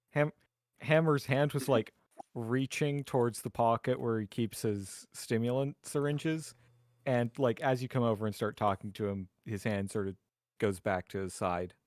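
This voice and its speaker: A man's voice: mid-range voice, normal speed, no echo. A man chatting casually as a player in a tabletop roleplaying game.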